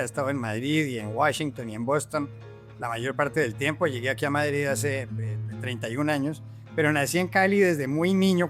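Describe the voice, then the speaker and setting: A man's voice: medium pitch, a bit bright. A man from Colombia, a journalist and author, answering as a podcast guest with fillers and repeated words. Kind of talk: casual podcast interview.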